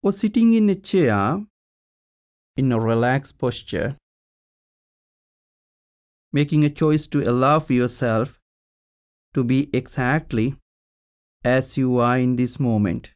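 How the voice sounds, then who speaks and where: A man leading a guided meditation as a teacher, speaking in short, calm instructional phrases with long pauses. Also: medium pitch.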